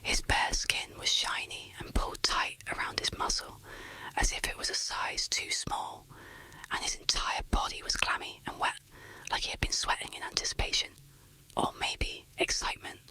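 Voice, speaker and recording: Bright whispering ASMR horror storyteller. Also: poor audio. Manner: whispering, deliberate dramatic storytelling.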